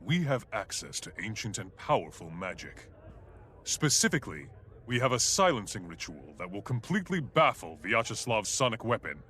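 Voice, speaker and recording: A man's voice: lowish voice, sing-song, moderate pace, pushed and bright. An actor playing a self-assured male scholar of magic in a fantasy video game, speaking precisely and haughtily. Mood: haughty, confident.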